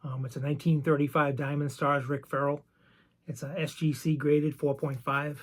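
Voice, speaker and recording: A man with a rough, gravelly voice sits somewhat above the usual male pitch. He chats his way through his baseball cards in a relaxed, rambling manner and drifts into side stories as he goes. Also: moderate pace. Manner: easygoing, chatty, wanders off on side stories. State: voice roughened by medication. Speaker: vintage card collector and channel host. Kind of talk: casual show-and-tell about baseball cards.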